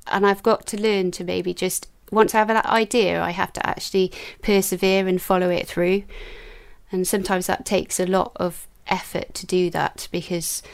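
A soft-spoken woman with a gentle Welsh lilt talks openly as an interview guest. She laughs easily between thoughts and keeps a relaxed, friendly pace. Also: lowish voice. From Wales. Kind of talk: casual interview chat.

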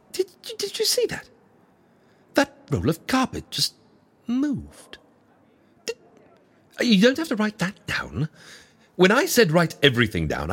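A man playing an excitable, fussy proprietor of a secret agency in the ancient world, theatrical, flustered and courteous, in a comic audio drama. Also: a little high, slightly rough, heavily acted. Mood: startled.

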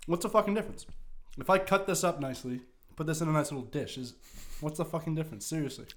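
A man's voice: a little high, fast, a bit bright. A man co-hosting a podcast, chatting casually in a blunt, profane, wisecracking way. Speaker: podcast co-host. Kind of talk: casual chat.

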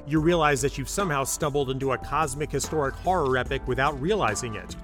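A man hosting a podcast, reading a scripted introduction to an audio drama. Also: mid-range voice. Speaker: podcast host. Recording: music under the voice. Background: music.

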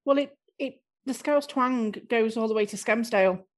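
Woman from near liverpool, bit of a scouse twang, higher voice, chatty and candid. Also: normal speed. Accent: light Liverpool twang. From near Liverpool, North West England. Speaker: improv performer, guest. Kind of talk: casual conversation.

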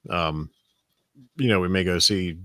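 A middle-aged man tells a long story on his podcast. His voice sits very low and has turned slightly raspy. He rambles, restarts sentences and repeats words. The sound is dry and close, with no room echo. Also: measured pace, sharp tone. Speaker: podcast host. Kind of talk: casual storytelling chat.

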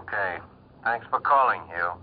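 Dry skeptical husband in a radio play, acted by a man, lowish voice, really tinny old recording. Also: normal speed, over loud music, lightly acted. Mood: guarded, curt. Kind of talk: radio drama acting.

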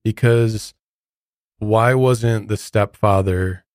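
Low-voiced, opinionated American man, close dry sound. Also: steady tone, extremely slow, dry recording. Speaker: podcast co-host. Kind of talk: true crime podcast discussion.

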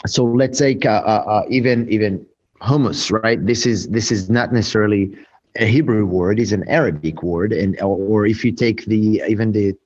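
A man who owns a restaurant answers interview questions, halting with many fillers and restarts. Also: lowish voice, darkish tone. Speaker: restaurant owner. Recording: dull lo-fi sound.

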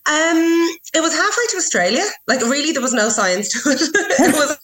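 A woman's voice: sits high, lively intonation, moderate pace, fairly bright tone. A woman in her thirties, chatting in an interview with many restarts and fillers. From Ireland. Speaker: interview guest, wine professional. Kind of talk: casual interview chat.

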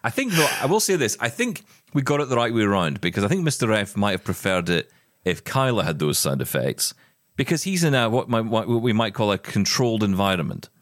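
Male host for casual chat show, lively pitch swings, jokey banter, dry close sound. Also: fairly quick, no room sound.

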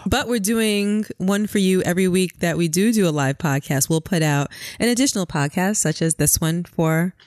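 A woman's voice: fairly low pitch, moderate pace, hardly any pauses, darkish tone, no room sound, studio quality. A woman co-hosting a podcast with her husband, upbeat and teasing, laughing easily. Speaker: podcast co-host. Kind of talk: casual chat.

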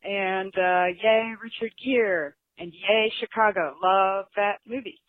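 A woman's voice: mid-range voice, steady tone, slow, dry recording. A woman leaving a casual voicemail as a listener of the show, over the phone. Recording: tinny narrow phone line.

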